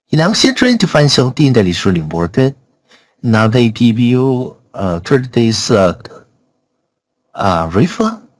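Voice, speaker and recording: Slow-talking, insistent man with swooping pitch. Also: no room sound, low-quality recording. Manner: blunt, insistent, repeating himself. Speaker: software reviewer. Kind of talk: casual spoken review.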